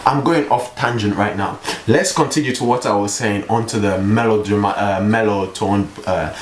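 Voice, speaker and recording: A young man vlogging in a casual, rambling way, with a mellow voice and a joking, self-mocking manner. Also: on the low side, moderate pace. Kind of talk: casual vlog monologue. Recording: echoey room with faint hiss.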